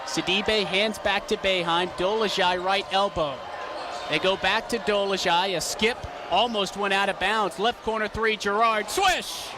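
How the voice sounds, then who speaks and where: High-voiced young American male sportscaster, noisy. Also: fairly even intonation, a little slow. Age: young adult. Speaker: play-by-play announcer and sports show host. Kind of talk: sports broadcast commentary.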